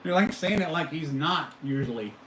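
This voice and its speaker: A man's voice: on the high side, lively intonation, normal speed, fairly bright tone. Chatty man in an echoey room. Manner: chatty, reacting to chat with amused disbelief. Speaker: livestream host. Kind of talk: casual live chat with viewers. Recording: echoey room, faint background noise.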